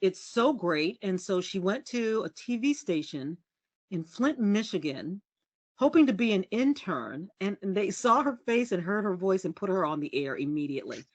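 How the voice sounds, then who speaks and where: Lively, candid woman with a low voice. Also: normal speed, dry recording. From United States. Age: middle-aged. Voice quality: low resonant speaking voice. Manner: lively, candid and self-deprecating, laughs often. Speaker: podcast guest, journalist. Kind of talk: casual storytelling interview.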